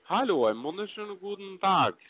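A man cold-calling about investments, speaking in halting, broken German. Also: high voice, sing-song, no room sound, dull audio. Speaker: call center agent. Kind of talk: sales phone call.

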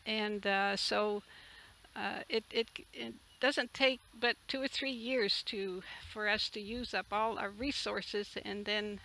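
A woman in her seventies from the Netherlands, a native Dutch speaker, giving a halting, earnest personal testimony. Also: medium pitch, fairly even intonation, pushed and bright, low-quality recording. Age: 70s. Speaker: interviewee, retreat camp owner. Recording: clear background noise, outdoor ambience.

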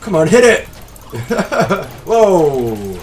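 Congested man commentating a game, animated. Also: on the high side, extremely slow, distant mic, soft background music. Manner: self-deprecating, excitable reactions to the game. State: slightly congested from a cold. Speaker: let's play host. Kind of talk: casual gameplay commentary.

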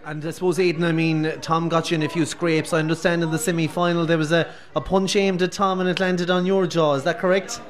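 A middle-aged Irish radio host interviewing guests live from a busy pub on a close handheld mic. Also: male voice, a little high, flat delivery. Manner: brisk, leading questions.

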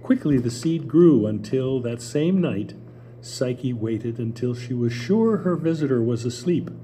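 Older male narrator at a stage reading: measured, warm, dark tone, animated pitch. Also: a little hiss or hum, rough recording. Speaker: stage reader. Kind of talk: story narration at a play reading. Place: small theater stage with audience. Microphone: table mic.

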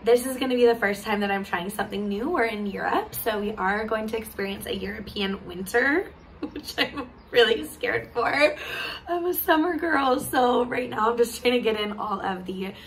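Slightly hoarse, bubbly woman vlogger. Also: fairly high pitch, normal speed, a little hiss or hum, rough recording. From California. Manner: bubbly, laughs often, lively hand gestures. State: a little hoarse. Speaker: travel vlogger. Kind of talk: casual chat to camera. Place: sunny balcony outdoors.

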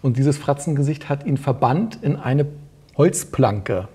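A man's voice: medium pitch, expressive, measured pace, dark tone, slightly rough. A middle-aged man as a talk show guest, explaining things informally and often adding little tag questions. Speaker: guest author. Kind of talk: casual explanatory chat. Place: small indoor talk studio.

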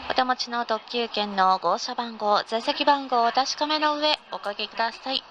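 A woman's voice: fairly high pitch, normal speed, fairly bright tone, muffled recording. A woman reading a scripted in-car train announcement in a formal, polite manner. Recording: noisy train ambience recording.

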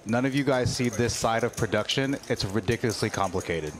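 A man's voice: mid-range voice, a little fast. A man producing and co-commenting on a live poker stream, talking in a rambling, chatty way and addressing viewers in chat from a production booth.

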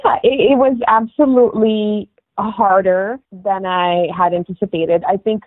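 A woman's voice: medium pitch, steady tone, unhurried, dry recording. Woman on a tinny phone line. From Morocco. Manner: chatty, with frequent restarts and fillers. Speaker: interview guest. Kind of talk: casual interview talk.

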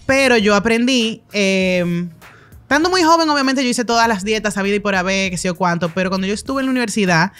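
A Dominican chef in her thirties, telling stories as a guest in a podcast studio, talking with lively gestures and quick asides. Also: mid-range voice, soft background music. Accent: Dominican Spanish. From Dominican Republic. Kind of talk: casual interview storytelling. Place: podcast studio with brick walls and plants. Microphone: studio mic on a boom arm.

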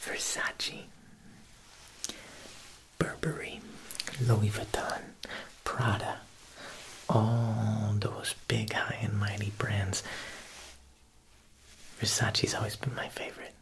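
A young man playing a smooth, menacing Italian mob boss tailor in a whispered ASMR roleplay, coaxing and deliberate with veiled threat. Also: lowish voice, level tone, low-quality recording. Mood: smooth, boastful. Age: young adult. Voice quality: breathy whisper with creaky edges. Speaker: ASMR performer.

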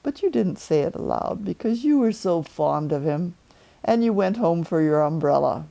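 Creaky older woman reading a storybook. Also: on the low side, animated, normal speed, dark tone. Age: 70s. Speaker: grandmother storyteller. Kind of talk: children's storybook narration. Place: living room sofa, indoors.

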